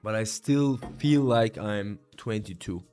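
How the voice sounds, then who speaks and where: Slow-talking young man streaming trades. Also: fairly low pitch, dark tone, soft background music. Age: 20s. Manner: casual, many fillers, calls viewers bro. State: tired. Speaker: day trading streamer. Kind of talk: live stream commentary reading chat.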